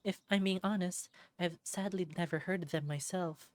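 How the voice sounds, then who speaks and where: A woman voicing a kind, anxious, devout village woman in a visual novel, explaining things gently and hesitantly. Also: fairly low pitch, fairly even intonation, normal speed, no room sound, pitched above the performer's own voice, natural voice. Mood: wistful.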